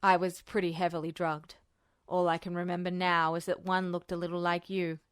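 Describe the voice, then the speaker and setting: A woman's voice: on the low side, flat delivery, normal speed, smooth, dry recording, studio quality, voice pushed down, close to an everyday voice. A woman voicing a guarded, haunted young man with subdued delivery in acted audiobook dialogue. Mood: cold, withdrawn.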